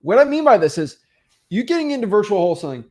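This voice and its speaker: A young man and host delivers a motivational coaching talk, pushing his points with rhetorical questions and constant "right?" check-ins. His voice sits somewhat high for a man and swings widely in pitch. The delivery is emphatic and lively throughout. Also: fast talker. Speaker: online real estate coach.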